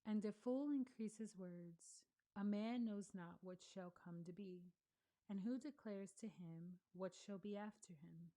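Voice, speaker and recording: A woman giving a slow, measured read-along of scripture, pausing between phrases. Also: medium pitch, mellow, poor audio. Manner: measured, deliberate reading with pauses. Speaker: scripture reader.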